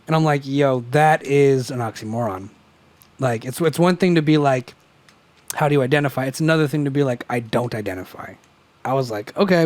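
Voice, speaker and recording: A man's voice: a little high, darkish tone, dry recording. A young man co-hosting a podcast in casual chat, profane and joking, his voice cracking as he argues. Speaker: podcast co-host. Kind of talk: casual chat over beers.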